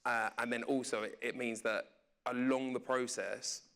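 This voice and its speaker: A man's voice: medium pitch, little pitch movement, moderate pace, fairly bright tone. A man, a radio presenter, speaking informally while moderating a panel. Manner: informal, conversational. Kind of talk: casual panel chat.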